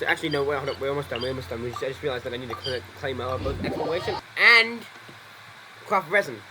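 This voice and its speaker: A man's voice: fairly high pitch, noisy background. A young man giving casual gameplay commentary, rambling, joking and correcting himself as he goes. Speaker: gaming streamer.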